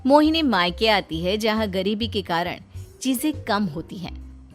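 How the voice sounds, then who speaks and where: A woman's voice: mid-range voice, animated, unhurried, soft background music. A woman reading a scripted story as its narrator.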